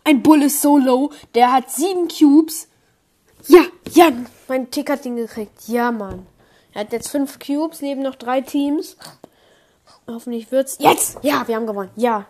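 A young woman commenting on her own online match, excitable, swinging from low muttering to high squeals. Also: a little slow. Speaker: gamer streamer. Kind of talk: live gameplay commentary.

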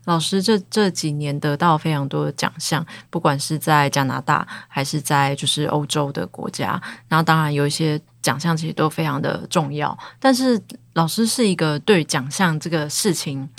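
A woman hosts a book show, posing thoughtful, polite questions. Her voice is very low for a woman, recorded close and dry. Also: moderate pace, gravelly, few pauses, dry recording. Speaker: podcast host. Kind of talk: interviewing.

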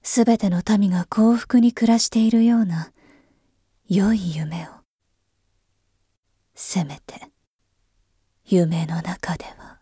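A woman's voice: medium pitch, steady tone, dark tone, husky, dry recording, studio quality. A woman playing a weary, imperious emperor. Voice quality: archaic, imperious speech. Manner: slow, brooding, half-whispered musing. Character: weary, world-tired figurehead emperor. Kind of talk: voice drama monologue.

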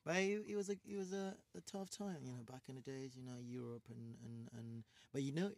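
A man's voice: mid-range voice, fairly quick, crowd noise. A man with an accent, co-hosting a casual podcast chat, rambling and restarting his sentences. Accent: non-native English accent. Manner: rambling, restarts often, laughs loudly. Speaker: podcast co-host.

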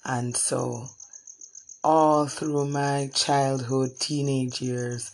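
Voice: mid-range voice, level tone, very slow, rough recording. A therapist from Jamaica, telling a reflective story in a thoughtful way with frequent restarts.

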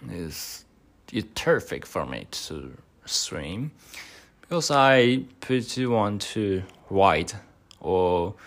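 Slow, deep-voiced man. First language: Chinese. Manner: halting, hesitant speech with many fillers. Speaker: podcast host. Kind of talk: mock speaking exam answers.

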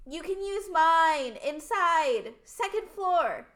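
A woman's voice: very high-pitched, lively intonation, slow, fairly bright tone, heavily acted. A woman playing a rich, syrupy, commanding teenage queen bee with theatrical delivery in an amateur radio play. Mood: brisk.

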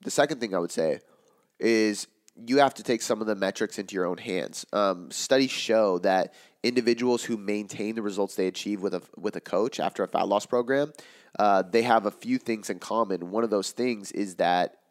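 A man with a very low voice that has gone raspy and hoarse after a long day of talking. He hosts a casual podcast as a fitness coach, answering listener questions in a loose, rambling way, full of "like" and "um". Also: moderate pace. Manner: loose, filler-heavy explaining with frequent "like" and "um".

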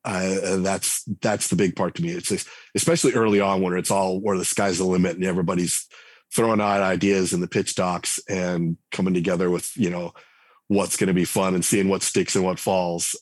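A man's voice: deep voice, level tone, a little fast, dry recording. A man speaking as a podcast guest, talking in a thoughtful, rambling way with lots of fillers and restarts. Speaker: game writer and designer guest. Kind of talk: conversational podcast interview.

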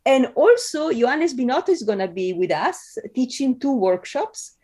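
A middle-aged Italian woman with a fairly high voice speaks as a scholar being interviewed. Her English has an Italian accent. She talks conversationally and hesitates often, with ums and pauses as she goes. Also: animated, dark tone. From Italy. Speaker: interview guest, film scholar.

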